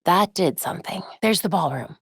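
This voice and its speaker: Wry young American superhero, close and dry. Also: female voice, fairly low pitch, lively intonation, moderate pace, vocal fry, breathy edge, dry recording, heavily acted. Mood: focused. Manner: wry, confident, conversational. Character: young female superhero detective, determined, wry and quick-witted. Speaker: video game character. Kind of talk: acted video game dialogue.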